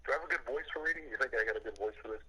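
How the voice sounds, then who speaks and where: A young man talking casually, then reading aloud in a halting, self-conscious way over a voice call. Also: medium pitch, pushed and bright, slightly rough, rough recording. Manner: hesitant, stumbling, self-conscious. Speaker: reader of creepypasta-style logs.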